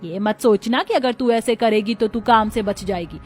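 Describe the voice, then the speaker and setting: A woman's voice: on the high side, normal speed, darkish tone, soft background music, natural voice. A woman voicing a harsh, middle-aged, domineering rich mother-in-law in a cartoon moral story, scolding and taunting. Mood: scornful, commanding.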